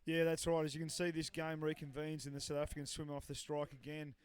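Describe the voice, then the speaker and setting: A man calls the match as lead commentator. His voice sits a little high and sounds smooth and clear. He talks in a chatty way with many "uh" pauses and keeps handing over to his co-commentator by name. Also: little pitch movement. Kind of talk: sports commentary.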